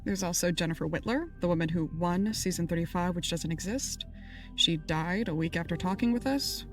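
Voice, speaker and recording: A woman acting a podcast producer and sidekick in an audio drama. Her voice is on the low side for a woman. She speaks casually, with a teasing manner and natural conversational delivery. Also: brisk, faint music behind, close to an everyday voice. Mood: matter-of-fact.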